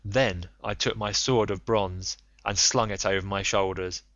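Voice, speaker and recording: A man's voice: lowish voice, steady tone, unhurried, a bit bright, dry recording, dull audio. A man voicing a frightened, despairing sailor in an audiobook epic, speaking in an earnest, piteous way. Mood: dismayed recounting. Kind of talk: audiobook character reading.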